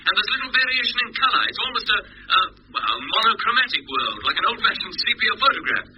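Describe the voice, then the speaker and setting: An actor playing a decisive, commanding yet reassuring spaceship captain in a 1950s radio drama. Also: male voice, high voice, lively intonation, fast talker, pushed and bright, heavily acted. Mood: awed, excited. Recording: dull, noisy 1950s radio recording.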